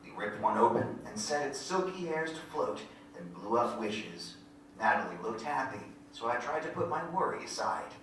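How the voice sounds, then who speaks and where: Bright-voiced folksy man as country storyteller, echoey. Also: medium pitch, expressive, normal speed, close to an everyday voice. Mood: lyrical, wistful. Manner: folksy rural grammar, lyrical storytelling. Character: wistful folksy country narrator recalling his boyhood. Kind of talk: poetic first-person monologue in staged reading. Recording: very echoey hall, distant mic, faint noise.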